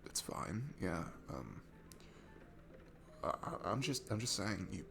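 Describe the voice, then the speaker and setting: Shy, sweet guy alone at a bar, played by a man for ASMR audio: heavy vocal fry, lively shifting pitch, hesitant, stammering and self-effacing. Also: slow, full character voice. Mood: nervous, self-deprecating. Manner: hesitant, stammering, self-deprecating, breaking into hushed breathy asides. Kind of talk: intimate ASMR roleplay audio acting. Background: music.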